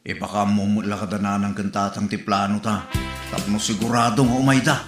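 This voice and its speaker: A man's voice: fairly low pitch, flat delivery, brisk, over music, close to an everyday voice. A man playing an easygoing, supportive husband and father in a comedy audio drama. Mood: agreeable. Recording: echoey, roomy sound.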